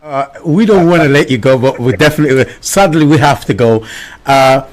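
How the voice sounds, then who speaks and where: A man's voice: mid-range voice, fairly quick. A man with a mid-range voice hosts a live radio interview. He is eager and stumbles often, repeating words before getting his question out. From Angola or Congo. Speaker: radio host.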